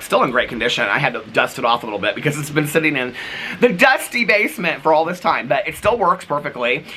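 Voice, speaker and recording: A man talking casually to viewers as a vlogger, in an animated, rambling, gushing way. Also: medium pitch, noisy background. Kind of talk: casual, chatty vlog talk to viewers.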